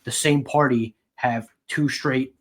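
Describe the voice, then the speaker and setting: A man with a medium-pitched voice co-hosts a sports podcast. His commentary is casual and conversational, delivered in a measured way with a wry edge. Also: fairly even intonation, fairly bright tone.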